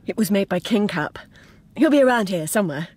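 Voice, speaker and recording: A woman playing a hospitable, placid female rabbit with a husky voice in a radio drama. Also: medium pitch, lively intonation, brisk, darkish tone. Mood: welcoming, placid. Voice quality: husky, breathy. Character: serene, welcoming female rabbit, evasively placid.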